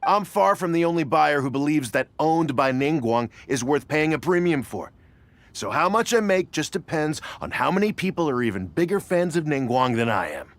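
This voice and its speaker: Eager, smug, high-voiced male bidder. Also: moderate pace, dry recording. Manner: excitable, boastful. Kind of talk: video game voice acting.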